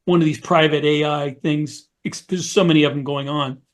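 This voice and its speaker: A man co-hosting a podcast in casual panel discussion, speaking haltingly and repeating words as he searches for phrasing. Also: on the high side, fairly even intonation. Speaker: podcast co-host.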